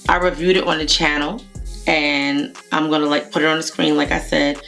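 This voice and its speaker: Hoarse woman in her thirties, low register, chatty vlogger to camera, home setting, faint music under. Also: level tone, normal speed. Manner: chatty, rambling, warm greetings to viewers. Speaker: beauty vlogger. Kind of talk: casual product review to camera. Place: home room with makeup shelves, indoors. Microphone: camera at desk distance, framed head and shoulders.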